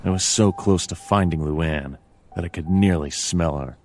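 A man playing a world-weary fox detective, deadpan and brooding, narrating a noir game in terse lines. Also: low voice, normal speed. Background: game music.

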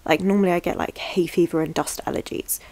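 A woman's voice: fairly low pitch, steady tone, fast talker, gravelly, a little mellow. A young woman talking casually to camera in a reading vlog, chatty and upbeat with rambling asides, at home among her bookshelves. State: stuffy from a cold. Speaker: book vlogger. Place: small bedroom with bookshelves, indoors.

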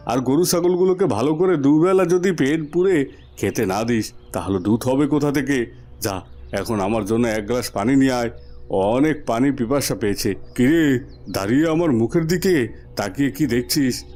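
Cartoon casting: stern, demanding uncle, mid-pitched mellow male voice man actor, faint music behind. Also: close to an everyday voice. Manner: scolding, demanding. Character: stern, grumbling village uncle, middle-aged man. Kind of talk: cartoon story acting.

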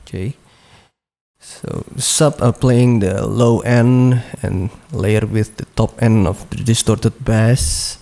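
A man slowly talking through a music production project over a screen recording, with frequent pauses, "uh" and "okay". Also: fairly low pitch, steady tone, creaky, warm tone. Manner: slow, hesitant walkthrough with frequent "uh" and "okay".

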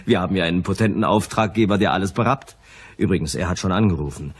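An actor playing a wry, jovial detective agency boss in a crime radio play, with a husky voice. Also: male voice, deep voice, fast.

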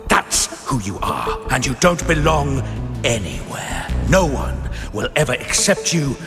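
An actor playing a cold, sinister male doll maker in a video game, slow and taunting. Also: medium pitch, wildly swooping pitch. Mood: menacing. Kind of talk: game voice acting. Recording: game audio with long reverb and music.

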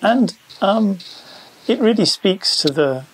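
A man's voice: high voice, expressive, slow pace, heavy background noise. A man interviewing by telephone, effusive and a little hesitant in his phrasing.

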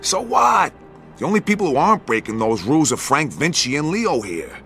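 A man's voice: on the high side, big pitch swings, normal speed, gravelly, fairly bright tone, soft background music, exaggerated voice. An actor playing a hard-nosed American mob hitman in a video game, blunt, firm and businesslike. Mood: firm, cold. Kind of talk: video game cutscene acting.

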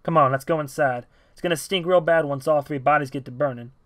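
A man voicing a calm, weary man who insists on following procedure. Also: fairly high pitch, fast, no echo, studio quality, close to an everyday voice.

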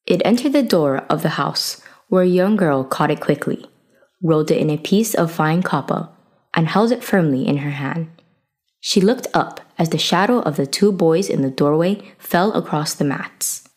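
Female storyteller for folktale narration, slightly low register, reading from a script. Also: lively intonation, moderate pace, a little mellow.

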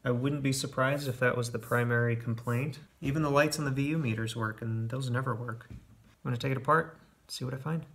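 A man in his forties talks through hands-on repair work in a workshop. His voice sits at a medium pitch. He is plainspoken and matter-of-fact, with a self-deprecating streak. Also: steady tone, brisk, vocal fry, a bit bright. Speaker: home repair video host. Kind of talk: casual hands-on repair explanation. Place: indoor home workshop.

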